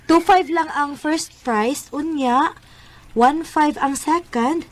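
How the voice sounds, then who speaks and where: A woman's voice: high-pitched, slow pace. An actress playing a shy, good-natured teenage girl in a Bisaya radio drama, speaking mildly and hesitantly with a Cebuano Filipino accent. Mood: chatty.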